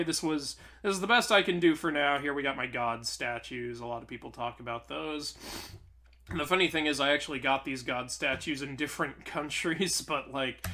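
A man's voice: on the high side, bright, forceful tone. A young adult man chatting casually and informally to camera as a video host. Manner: informal, conversational, frequent "like" and "you know". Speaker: YouTube host. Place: small bedroom at home.

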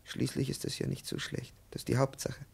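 An actor playing a timid, over-polite elderly professor in a 1950s radio play, halting and profusely courteous. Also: male voice, mid-range voice, flat delivery, vocal fry, darkish tone, slightly rough, heavily acted. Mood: hesitant. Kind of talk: 1950s German-language radio play acting. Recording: 1950s radio drama recording.

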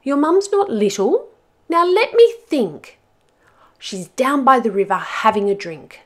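A woman's voice: high-pitched, sing-song, unhurried, pitched above the performer's own voice, heavily acted. A woman voicing an eager, helpful but muddled butterfly in a storybook, encouraging and exclamatory. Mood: eager, confident. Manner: bright-eyed, encouraging, exclamatory.

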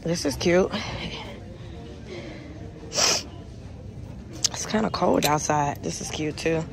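A woman's voice: low-pitched, extremely slow, creaky, a crowd in the background. A young woman vlogger, chatting casually to her viewers and calling them y'all. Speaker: lifestyle vlogger. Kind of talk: casual chat to camera. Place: home, then shopping in a store.

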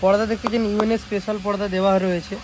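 A man with a West Bengal Bengali accent talks quickly in a high voice, like a shop owner showing off goods on his channel. He stresses and repeats his points, often checking that viewers follow. The phone recording has a noticeable hum and hiss behind him. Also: flat delivery. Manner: repetitive, emphatic sales talk with frequent check-in tags. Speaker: speaker repair shop owner and video host. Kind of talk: casual product showcase vlog. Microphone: phone camera. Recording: noisy, engine-like hum and hiss.

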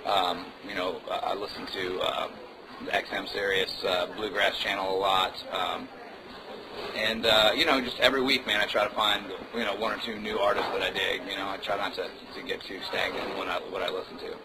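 A male musician chatting casually as an interview guest, relaxed and rambling, with lots of fillers. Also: low voice, brisk, noisy background. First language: Indonesian. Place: music club.

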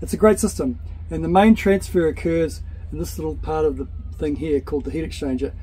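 A man's voice: fairly high pitch, fairly even intonation, brisk, rough recording. A man in his forties with a New Zealand accent, explaining boat engine work to a handheld camera on his sailboat. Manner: chatty, practical, explains boat mechanics step by step. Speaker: sailing vlogger. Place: sailboat, outdoors at sea and on deck at night. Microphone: handheld camera at arm's length. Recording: loud wind and engine noise.

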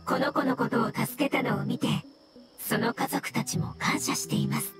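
A woman voicing a polite, earnest floating mushroom spirit in an anime game, with a measured manner. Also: fairly high pitch, loud music behind the voice, close to an everyday voice. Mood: polite, explaining. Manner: measured, sometimes halting in single words. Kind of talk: anime game dialogue, Japanese dub.

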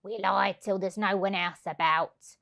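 A woman's voice: medium pitch, fairly even intonation, unhurried, vocal fry, bright, forceful tone. A woman voicing a gleeful, scheming male burglar from a children's storybook, with a conspiratorial manner. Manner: conspiratorial, relishing the plan.

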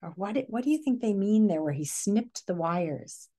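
A middle-aged woman with an American accent reads a picture book aloud, expressively, pausing to put questions to young listeners like a teacher. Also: medium pitch, lively intonation, dark tone. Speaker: read-aloud presenter. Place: living room, indoors.

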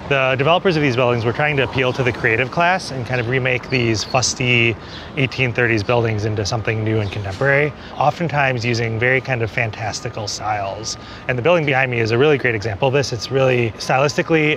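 A man in his forties, an architect, giving an on-camera walking tour outdoors, explaining buildings in a conversational way with many small hedges. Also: mid-range voice. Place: outdoors on a city street. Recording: city street noise behind.

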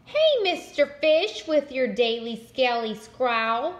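A soft-drifting jellyfish who gently scolds and pleads, as a woman puts him on. The voice sits high and sways with lively pitch, recorded in an echoey room. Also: slow, exaggerated voice. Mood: pleading. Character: gentle floating male jellyfish.

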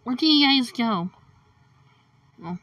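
A woman plays along in a casual game roleplay with short reactions. Her voice is high and animated, and she speaks very slowly. She sounds a little distant from a dry-sounding mic. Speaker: player in a Minecraft roleplay.

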